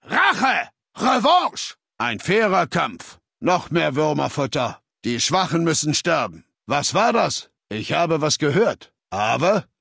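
Gravelly, menacing legion warrior. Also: male voice, a little high, sing-song, slow, few pauses, a bit bright, dry recording, clean studio sound, exaggerated voice. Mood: hostile, aggressive. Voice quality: rough, gravelly. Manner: aggressive, threatening barks. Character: menacing, fanatical legion soldier in combat. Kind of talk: video game combat barks.

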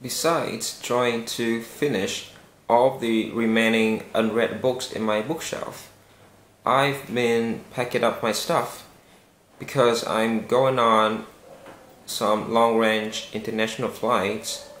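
A young man whose first language is Vietnamese, talking slowly and earnestly to the camera in a casual vlog. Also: mid-range voice, level tone, a bit bright. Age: young adult. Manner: slow, careful and earnest, with some hesitations. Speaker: vlogger. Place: small indoor room with a bare wall. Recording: echoey room.

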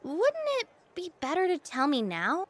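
High-voiced earnest young princess. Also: female voice, wildly swooping pitch, a little slow, big-room sound, exaggerated voice. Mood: questioning. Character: young adult princess, earnest, feisty, emotional. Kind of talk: video game cutscene acting.

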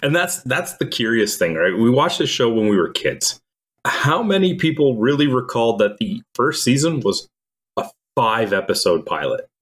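Guy from croatia on a podcast, mid-pitch voice, calm and measured, explains things step by step. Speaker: podcast co-host. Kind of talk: casual podcast chat.

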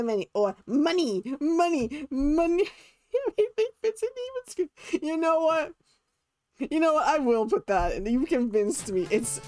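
Giggly slow-talking young man streaming a game. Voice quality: naturally deep for him but light, giggly. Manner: playful, laughs often, squeals at setbacks. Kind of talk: casual game stream chat. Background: faint game music.

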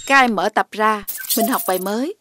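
A woman's voice: medium pitch, fairly quick, bright, forceful tone, lightly acted. A woman voicing a stern but caring cow schoolteacher in a children's cartoon, firm and questioning.

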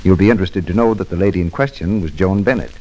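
A man hosting an old radio show as sponsor's spokesman, reading a scripted announcement in a measured, formal way. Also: sits low, warm tone, muffled recording.

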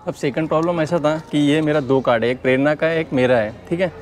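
Indian guy in his 30s vlogging from a store aisle, kinda high voice, earnest, explains every step, clip mic. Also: fast talker. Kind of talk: casual vlog talk mixing Hindi and English. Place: big-box store aisle, indoors.

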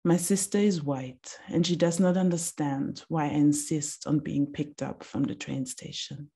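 A woman in her thirties giving a slow, deliberate reading of a reflective text over a video call from a small room. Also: low voice, steady tone, gravelly, dark tone, husky. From Austria.

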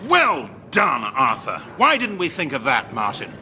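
An actor with an English accent playing a suave, sardonic, unflappable first officer in a radio sitcom, dry and mock-formal. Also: male voice, medium pitch, wildly swooping pitch, measured pace, sharp tone, big-room sound, slight background noise, heavily acted. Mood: sardonic, smooth.

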